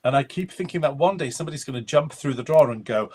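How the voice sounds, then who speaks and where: A Welsh man, a native Welsh speaker, talking as a guest author in an interview. He speaks in a reflective, measured way and often pauses to find his words. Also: medium pitch, a little mellow, husky. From Wales. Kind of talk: conversational interview.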